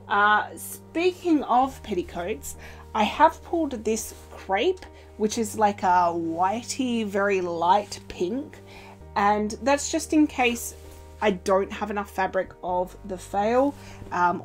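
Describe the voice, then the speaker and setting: A young woman chatting casually in an upbeat show-and-tell way, filled with little fillers and playful pitch jumps, recorded in a small sewing room. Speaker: cosplay vlogger. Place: small indoor sewing room with fabric shelves. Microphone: camera in front at desk distance.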